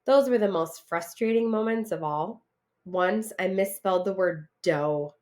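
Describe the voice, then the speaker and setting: A woman reading a picture book aloud in an animated, expressive, dramatic way for children. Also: medium pitch, measured pace. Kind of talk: animated storybook read-aloud.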